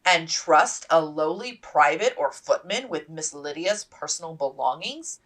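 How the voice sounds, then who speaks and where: A woman's voice: sits low, animated, a little slow, a bit bright, far from the mic, full character voice. A woman voicing a gallant young male army officer in a storybook, courtly and emphatic. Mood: smug gallantry.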